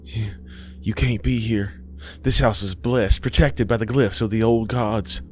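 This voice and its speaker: Exhausted dying woman for horror audiobook, played by a man: lifted pitch, pleading, defiant, faint music under. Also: fairly even intonation, normal speed, darkish tone, close to an everyday voice. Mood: frightened defiance. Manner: weary, pleading.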